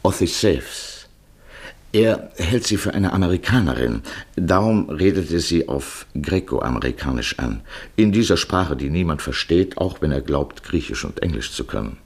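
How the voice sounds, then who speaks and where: Male narrator for literary radio drama: low, rough-edged voice, measured scripted delivery. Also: animated.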